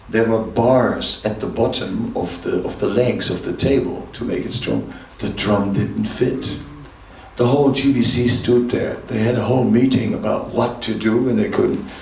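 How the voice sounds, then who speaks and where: A man's voice: lowish voice, moderate pace, gravelly, a little mellow, a little hiss or hum. An older man, a monk giving a temple class, telling stories in an easy conversational way and chuckling often. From Netherlands. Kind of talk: temple lecture with anecdotes. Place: temple hall. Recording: roomy hall from a distance.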